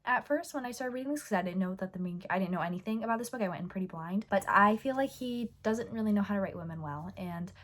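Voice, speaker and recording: A woman book vlogger with a hoarse, fading voice, chatting casually and rambling with lots of fillers and restarts. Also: medium pitch, animated, quick pace, few pauses, slight background noise. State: hoarse, losing her voice. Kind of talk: casual chat.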